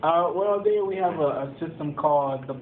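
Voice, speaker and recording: A young man from Tanzania, a college student, answering interview questions in a measured, explanatory way with many pauses and ums. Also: fairly high pitch. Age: young adult. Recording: echoey room over a tinny phone-like line with background noise.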